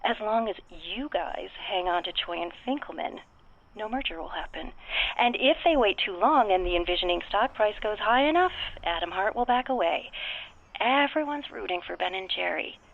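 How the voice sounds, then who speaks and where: An actress playing a brash, cynical professional escort calling a web show, blunt and slangy, through a telephone filter. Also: female voice, medium pitch, expressive, normal speed, vocal fry. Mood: sardonic, sure. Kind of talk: audio drama acting. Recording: narrow tinny phone line.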